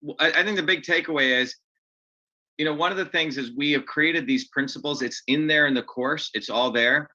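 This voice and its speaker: A man's voice: on the high side, fairly quick, bright, forceful tone, lo-fi sound. A man hosting an online open house meeting in a casual, upbeat way, often restarting his sentences. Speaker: host.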